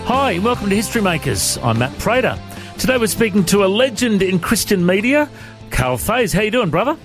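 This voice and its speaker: A man's voice: high-pitched, sing-song, fairly quick, over music. A man with an Australian accent hosting a podcast interview, friendly and chatty with his guest. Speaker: podcast host.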